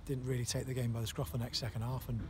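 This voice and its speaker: Man, 30s, football manager, flat delivery, halting speech, outdoor noise behind. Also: mid-range voice. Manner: halting, many fillers and restarts. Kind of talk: post-match interview. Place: outdoors on a floodlit football pitch at night.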